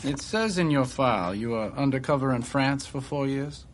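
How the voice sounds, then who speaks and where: A man's voice: medium pitch, expressive, normal speed, slight background noise, lightly acted. Brash, blustering spy boss played by a man. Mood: businesslike. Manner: blunt, informal, blustering. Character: brash, blustering male American spy boss, hard-drinking. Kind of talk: radio drama dialogue.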